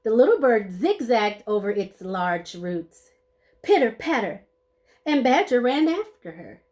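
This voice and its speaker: A woman's voice: a little high, very animated, measured pace, a little mellow. A woman reading a children's story aloud expressively, playing up the sound words and adding short asides to her listeners. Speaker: storybook reader.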